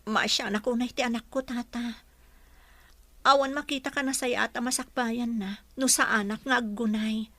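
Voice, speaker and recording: A woman's voice: on the high side, steady tone, moderate pace, pushed and bright, no echo. Pleading young mother, played by a woman, dry sound. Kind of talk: Ilocano radio drama acting.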